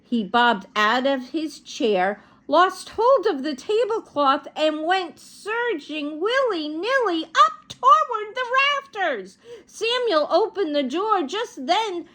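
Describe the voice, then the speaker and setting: A woman with a high voice reads a children's story at a very slow, careful pace. She is an animated storyteller who speaks directly to young listeners and adds chatty asides as she goes. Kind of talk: animated storybook read-aloud.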